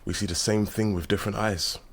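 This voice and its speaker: A man's voice: lowish voice, fairly even intonation, a little fast. An actor playing a bitter, defiant young man, a proud activist son who speaks in an accusatory, declamatory way in a radio drama. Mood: proud, insistent. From London, England. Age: young adult. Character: defiant, bitter grown son turned Nigerian oil-rights militant.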